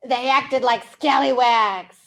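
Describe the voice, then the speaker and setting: A woman hosting a storytime for young children, reading aloud in an animated way with simple, exaggerated, encouraging questions. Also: sits high. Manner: slow, simple wording for English learners. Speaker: children's storytime librarian host.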